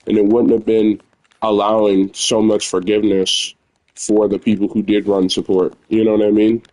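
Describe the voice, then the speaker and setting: Casting: young male gamer, low-ish voice, naturally loud, candid rambling commentary. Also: level tone, moderate pace, poor audio. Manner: rambling, candid, filler-heavy. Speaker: gamer streamer. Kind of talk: casual gameplay commentary.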